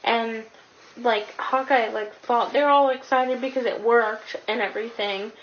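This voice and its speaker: A woman's voice: a little high, steady tone, measured pace. A woman co-hosting a movie review, retelling the story in casual, slangy, sweary chat. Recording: dull lo-fi sound, clear background noise.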